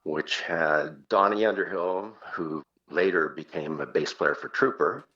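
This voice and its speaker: Dull-sounding, very deep older Canadian man. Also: a little slow. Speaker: singer, interview guest. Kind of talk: casual reminiscing.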